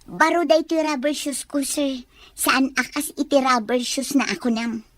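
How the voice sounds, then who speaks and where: A woman plays a young female office worker in a radio drama. Her voice is high-pitched. She sounds hardworking and put-upon, airing her complaints with weary feeling. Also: normal speed, fairly bright tone, no echo. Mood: annoyed. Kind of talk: Ilocano radio drama acting.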